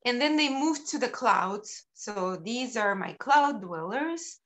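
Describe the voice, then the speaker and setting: A middle-aged woman from Ukraine presenting her sculptures over a video call, unhurried and halting with frequent ums. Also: on the high side, animated, fairly bright tone.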